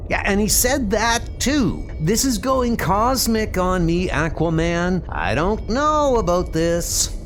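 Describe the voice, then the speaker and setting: A man's voice: high-pitched, lively intonation, slow pace, few pauses, fairly bright tone, soft background music, voice pushed up, heavily acted. A man voicing a young, chatty, rattled superhero in a comic book dub, speaking casually and hesitantly. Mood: nervous, awed.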